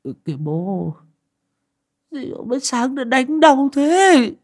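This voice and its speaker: Male narrator doing a lazy boastful young villager, whiny lively delivery. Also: very high voice, slow pace, voice pushed up, full character voice. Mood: whining.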